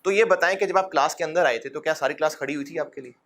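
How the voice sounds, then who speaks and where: Middle-aged man, accounting lecturer: bright, forward voice, pitched a touch high, coaxing and fatherly with the class. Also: rapid-fire. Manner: fatherly and encouraging, addresses students as son; rhetorical questions, gentle humor.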